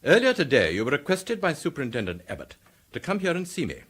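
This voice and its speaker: An actor playing a stern, haughty senior police chief, speaking in a cold, clipped, imperious manner in a radio drama. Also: male voice, fairly high pitch, huge pitch swings, quick pace, fairly bright tone, husky, full character voice. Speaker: police commissioner figure.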